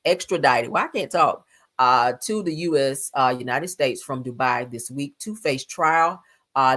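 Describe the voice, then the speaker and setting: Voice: mid-range voice, fairly bright tone. A host giving casual live news and gossip commentary in a sassy, blunt, colloquial way, often addressing listeners directly.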